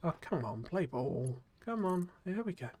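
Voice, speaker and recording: A man streaming a game live, chatting in a rambling way with lots of fillers and restarts, his voice a little gruff after a cold. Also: fairly high pitch, very animated, normal speed, darkish tone, slight background noise. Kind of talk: casual live-stream chat while playing.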